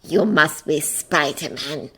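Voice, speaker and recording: A woman's voice: sits low, slow pace, a bit bright, husky, pitched below the performer's own voice, full character voice. A woman voicing a smug, maniacal male villain with a cold, grating tone in a narrated story. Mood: cold, taunting. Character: smug, maniacal burned man, sadistic villain.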